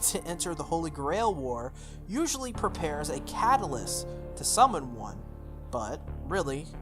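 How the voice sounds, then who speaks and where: A let's play host with a medium-pitched voice reads a visual novel over clear background music. The delivery slips between narration and casual, joking asides. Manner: jokey, self-interrupting asides.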